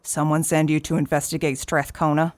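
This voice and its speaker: A woman's voice: deep voice, level tone, brisk, no room sound, clean studio sound, natural voice. An actress playing an elderly, folksy small-town woman, teasing and sardonic, in an audio drama. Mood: menacing, mocking. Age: older. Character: sly, folksy, gun-toting elderly desert townswoman.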